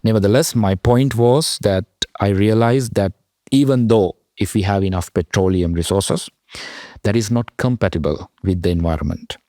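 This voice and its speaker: A young man from Sri Lanka, a PhD student, talking as a podcast guest in a halting way with many fillers. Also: low-pitched, a little mellow, no echo. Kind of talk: podcast interview.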